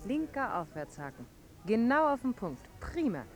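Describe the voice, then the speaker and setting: High-voiced young society lady. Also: very animated, moderate pace, soft background music. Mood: curious, cool. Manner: playful, polite, chatty. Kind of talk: 1950s German radio drama acting.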